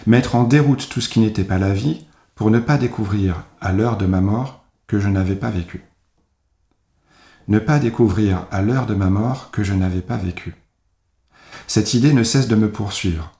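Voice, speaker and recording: Low-voiced man giving a measured talk. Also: mellow, echoey room. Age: 40s. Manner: measured, reflective storytelling. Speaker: conference speaker, agile coach. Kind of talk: conference talk. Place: home office, video call.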